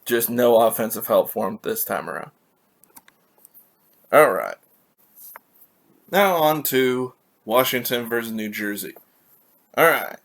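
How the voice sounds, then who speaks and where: A man from California hosting a casual solo hockey recap, rambling and correcting himself as he reads scores and stats, a little raspy from allergies. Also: mid-range voice, expressive, slow, long pauses, fairly bright tone.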